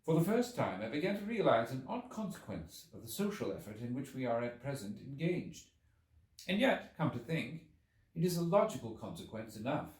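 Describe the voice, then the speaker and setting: A man reading a literary story aloud as a narrator, with measured, dramatic and deliberate phrasing. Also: mid-range voice, very animated, a little mellow, recorded from a distance. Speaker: audiobook narrator. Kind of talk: expressive literary audiobook narration. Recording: roomy, echoing, away from the mic.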